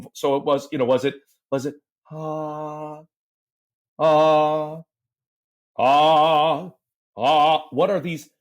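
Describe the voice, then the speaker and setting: Male voice teacher, mid-pitched, explains things patiently and demonstrates with sounds. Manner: explains step by step, vocal demos and sound effects. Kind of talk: conversational podcast explanation.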